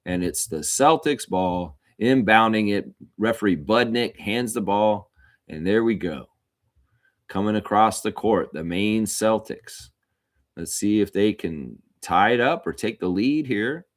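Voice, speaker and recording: A man with a fairly low voice calls a basketball game as a live stream host. His play-by-play is casual and informal. He drifts into chatty asides between calls, talking as if to fellow fans watching along. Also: lively intonation, a little slow.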